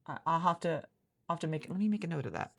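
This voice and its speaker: A woman doing a soft-spoken ASMR roleplay as a hiring manager, chatty and rambling with many restarts, recorded in a friend's office. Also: sits low, expressive, fast, creaky.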